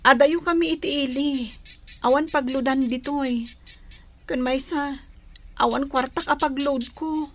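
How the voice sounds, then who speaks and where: An actress playing an anxious, homesick young wife in a radio drama, speaking in a plaintive, pleading way. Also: female voice, sits high, fairly even intonation, measured pace, long pauses, fairly bright tone. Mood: earnest, pleading. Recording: noisy radio drama track with ambience.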